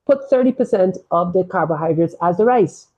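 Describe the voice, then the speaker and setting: Man, Guyanese accent, mellow dark tone, explanatory, checks in with "right?", muffled recording. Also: sits high, expressive, a little fast. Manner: explanatory, tags statements with "right?". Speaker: sports medicine doctor, guest. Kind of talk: casual health talk.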